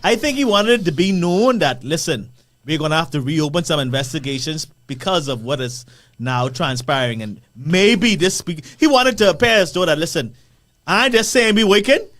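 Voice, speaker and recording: Guy on a radio panel, kinda high voice, measured and explaining. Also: lively intonation, a bit bright. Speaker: radio talk show co-host. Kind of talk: casual radio panel discussion.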